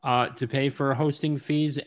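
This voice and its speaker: Man, podcast host, halting, lots of fillers, casual, mid-pitched. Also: level tone. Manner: halting, with many ums and uhs. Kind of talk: casual interview chat.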